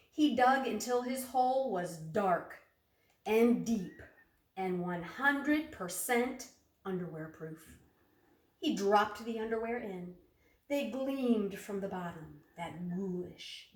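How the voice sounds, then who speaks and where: A woman reads a storybook aloud to children in a roomy, echoing space, a little away from the mic. Her delivery is expressive and unhurried, with dramatic stretches and questions put to her young listeners. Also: medium pitch, distant mic. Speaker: storybook reader. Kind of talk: children's picture-book read-aloud with asides to young listeners.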